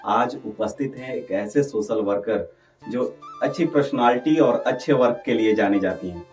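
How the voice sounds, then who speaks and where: A man's voice: medium pitch, moderate pace, darkish tone. A man hosting a radio interview and putting questions to a guest. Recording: distant mic with background music.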